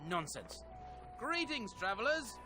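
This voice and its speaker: A man playing a smug highwayman leader in a video game, falsely cheery and mock-polite. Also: sing-song, a little slow, music in the background, full character voice. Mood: smugly cheerful. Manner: sing-song, mock-polite.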